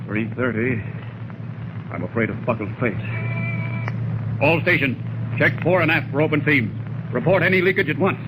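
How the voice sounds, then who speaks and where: An American actor playing a cautious, grave submarine captain in a 1940s radio drama, giving orders in a clipped, commanding way. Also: male voice, mid-range voice, moderate pace, pauses often, music in the background, lightly acted. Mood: tense, clipped. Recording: noisy old 1940s radio recording.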